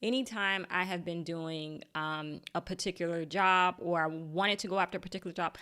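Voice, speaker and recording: Chatty, lower-voiced woman coaching a live workshop. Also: steady tone, normal speed, bright, forceful tone, clean tone. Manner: chatty and encouraging, laughs at her own slips. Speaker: LinkedIn marketing coach. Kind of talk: live online workshop teaching. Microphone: desk mic.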